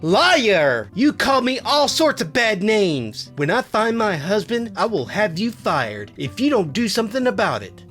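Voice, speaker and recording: A man voicing an outraged, entitled older woman customer with a shrill voice in a story. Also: sing-song, unhurried, few pauses, fairly bright tone, faint music behind, full character voice. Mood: outraged accusing. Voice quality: shrill, screechy. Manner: indignant, demanding.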